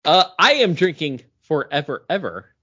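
A man co-hosting a podcast, chatting casually in an animated, rambling way. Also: fairly high pitch, normal speed, husky. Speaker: podcast co-host. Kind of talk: casual pop culture and beer chat. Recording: dull, lo-fi podcast audio.

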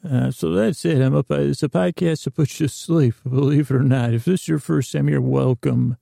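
A man hosting a bedtime podcast with a rambling, halting, goofy improvised monologue that trails off mid-sentence. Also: medium pitch, fairly even intonation, creaky, dark tone, no room sound, studio quality. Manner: goofy, whimsical. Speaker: podcast host.

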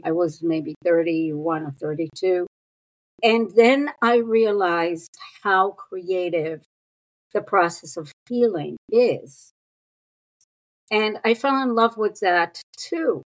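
Israeli-raised woman in her 60s, therapist guest, low voice, very slow and reflective delivery. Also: low-quality recording. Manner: unhurried, thoughtful, with pauses and fillers. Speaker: guest, psychotherapist. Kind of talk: reflective interview talk.

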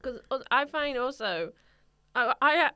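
A woman's voice: high-pitched, lively intonation, a little slow, bright, forceful tone, smooth. A young woman, co-hosting a casual comedy podcast and making wry, laughing asides. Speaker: podcast co-host.

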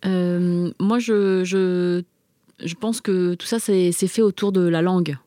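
A woman's voice: fairly low pitch, fairly even intonation, slow, warm tone, no echo, clean studio sound. A young adult woman from France whose first language is Chinese, talking casually and hesitantly, with many fillers and repeated starts. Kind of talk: casual conversational chat.

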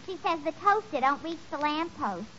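Brash young New barroom gal, sharp-edged voice, noisy old radio sitcom recording. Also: female voice, high voice, animated, moderate pace, highs cut off. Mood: brisk. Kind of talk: 1940s radio comedy acting.

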